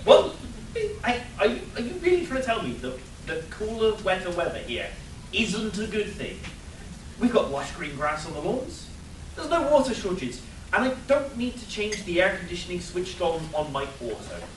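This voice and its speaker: A man's voice: sits high, huge pitch swings, moderate pace. A man playing an exasperated, sarcastic young superhero sidekick in a live comic radio play, whiny and incredulous. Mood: incredulous, argumentative. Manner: whiny, incredulous, swooping into outbursts. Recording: roomy, distant live stage capture, faint noise.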